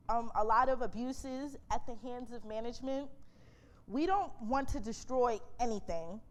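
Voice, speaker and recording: Woman acting shy nervous manager giving a speech, high halting voice, humble. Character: nervous, humble female operations manager turned spokeswoman.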